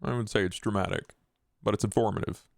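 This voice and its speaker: Man playing a gravelly, terse vampire enforcer. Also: on the low side, steady tone, fast, no room sound, clean studio sound. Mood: dry, approving. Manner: terse, matter-of-fact. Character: male vampire partner, terse, low-key and practical.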